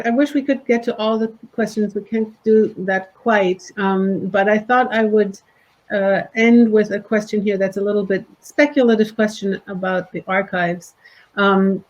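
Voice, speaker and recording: A woman's voice: mid-range voice, rough recording. A woman moderating a book talk, politely reading audience questions aloud to the guest.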